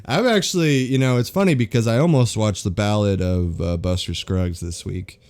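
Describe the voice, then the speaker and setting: A man's voice: fairly low pitch, moderate pace, a little mellow, clean tone. A man co-hosting a film podcast in casual banter, opinionated and sweary with slangy phrasing. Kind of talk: casual banter reviewing films.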